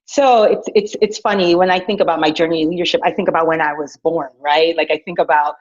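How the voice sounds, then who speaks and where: A middle-aged woman from Guyana, an educator, telling her leadership story in a lively, chatty way with fillers and restarts. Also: low voice, fast talker. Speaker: director of innovation at a school. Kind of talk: personal leadership story, casual. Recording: dull lo-fi recording.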